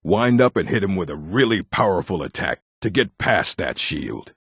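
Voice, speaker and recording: A proud, gruff orc warrior from a video game. The voice sits extremely low and is thick with gravel. He speaks bluntly and with command, his pitch moving expressively, in a very dry, close sound. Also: very animated, moderate pace, a bit bright, no echo, full character voice. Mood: gruff, instructive. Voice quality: gravelly, husky. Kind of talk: scripted video game character lines.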